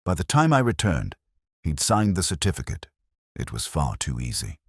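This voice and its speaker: A man voicing a cornered impostor in a detective audiobook, confessing defensively in terse, halting phrases. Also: rumbling low, huge pitch swings, slightly rough, no room sound, studio quality, heavily acted. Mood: uneasy admission.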